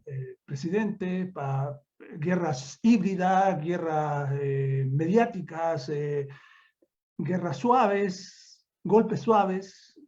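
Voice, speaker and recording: An older Chilean man gives an improvised academic talk in a high, soft-edged voice. He hammers points home by repeating words and phrases. The sound is dull and lo-fi, as on an online call. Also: drawn-out words. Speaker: university professor, guest speaker. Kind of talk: extemporaneous online academic lecture. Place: remote online conference call.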